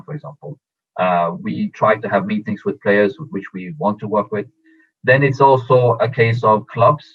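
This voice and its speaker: A man who grew up in Sweden, a football agent answering interview questions in a measured way with many fillers and restarts. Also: on the low side. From Iran, raised in Sweden. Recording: tinny phone-like audio.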